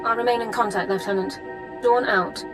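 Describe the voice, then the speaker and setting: A woman's voice: fairly high pitch, fairly even intonation, moderate pace, lightly acted. Actress doing strict army sergeant lady, very by the book, game dialogue with music behind. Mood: brisk, analytical. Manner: formal, regimented, clipped military phrasing. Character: crisp, by-the-book female military sergeant, formal and dutiful. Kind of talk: video game character acting.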